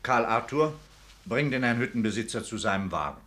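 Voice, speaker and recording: A man's voice: mid-range voice, expressive, brisk, a bit bright, close to an everyday voice. A man playing a kindly, indulgent old provost in a literary radio drama, affectionate and a little hesitant. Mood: courteous. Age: older.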